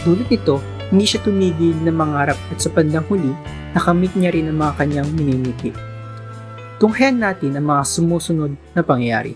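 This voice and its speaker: A man's voice: fairly high pitch, animated, fairly quick, darkish tone. A man narrating a radio drama in a formal, earnest storytelling tone. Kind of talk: scripted narration. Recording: music playing behind.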